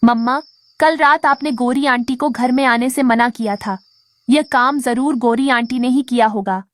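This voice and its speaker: Woman as a high-voiced little boy bird. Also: normal speed, fairly bright tone, no room sound, clean studio sound, natural voice. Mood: eager. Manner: childlike, earnest. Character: young boy bird, eager, dutiful son. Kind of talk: Hindi children's cartoon voice acting.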